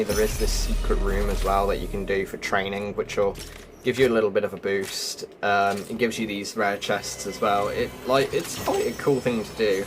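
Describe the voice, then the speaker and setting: A man's voice: fairly low pitch, background noise. A man giving casual walkthrough commentary as the host of a gaming video. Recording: music behind the voice.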